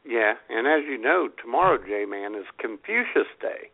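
Gravelly husky guy on a cordless phone, slow punny jokes, bouncy pitch, tinny line. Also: very animated. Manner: dry punning jokester. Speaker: podcast co-host. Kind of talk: casual comedic chat.

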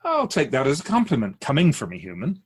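Otherworldly Keeper male actor, radio drama: husky, expressive, philosophical mentor to humans, measured and probing. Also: medium pitch. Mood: playful, teasing. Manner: measured philosophical explaining with rhetorical questions. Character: otherworldly Keeper of souls, wise, patient, gently challenging teacher.

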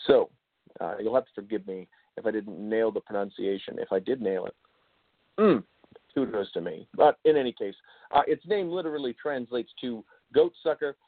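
A man hosts an informal explainer monologue in his native English. His delivery is halting and full of filler pauses. The sound is thin and narrow, like a phone line. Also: fairly low pitch. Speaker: show host. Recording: roomy, phone-like tinny line.

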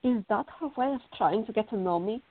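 A woman's voice: on the high side, brisk, slightly rough. An actress playing a fiery, indignant young woman in a radio drama, arguing and questioning. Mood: baffled, annoyed. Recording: tinny, band-limited, echoey room.

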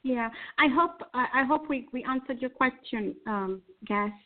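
A woman's voice: sits high, a little slow. Kenyan-born woman host on a tinny call. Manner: hesitant, many fillers and restarts. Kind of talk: casual interview over a call. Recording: tinny phone-like call audio.

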